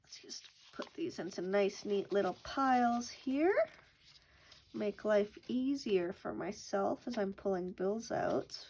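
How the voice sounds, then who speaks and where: A young woman hosts a budgeting channel from home, chatting casually as she counts bills aloud. She is sick with a cough, and her voice sits at an ordinary middle pitch. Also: takes it slowly, darkish tone. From Canada. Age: young adult. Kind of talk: casual chat while counting cash.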